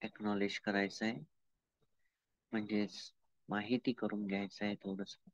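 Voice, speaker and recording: Low-voiced Indian man guiding meditation, dull audio. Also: dry recording. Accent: Indian, Marathi. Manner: calm, unhurried, with long pauses and repeated instructions. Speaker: meditation teacher. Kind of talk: guided meditation instruction.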